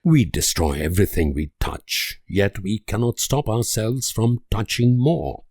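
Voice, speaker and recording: An actor playing an idealistic, world-weary diplomat, delivering grave, deliberate speeches and letters in a strategy game. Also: male voice, lowish voice, darkish tone, slightly rough, dry recording, studio quality. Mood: bleak sorrow.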